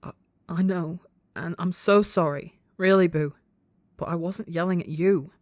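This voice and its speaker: A woman's voice: on the low side, measured pace, darkish tone, no echo, lightly acted. A hot-tempered young man full of guilt, acted by a woman in a dramatic storybook reading. The speech stammers and restarts with raw urgency. The recording is close and dry. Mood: tearful, apologetic. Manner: stammering, broken restarts, swearing. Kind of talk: dramatic audiobook-style character dialogue.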